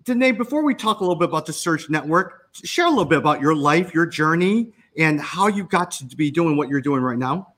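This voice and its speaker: A man's voice: on the high side, lively intonation, fairly quick, fairly bright tone. A man hosting a podcast interview, asking questions in a friendly way and adding short encouraging interjections. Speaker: podcast host. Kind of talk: interviewing a guest.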